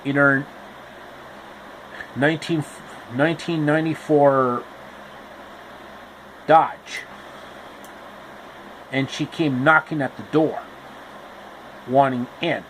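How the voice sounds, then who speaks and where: Man narrating a story, slow, repetitive asides, slightly high voice. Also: steady tone, a bit bright, a little hiss or hum, rough recording. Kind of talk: casual storybook retelling.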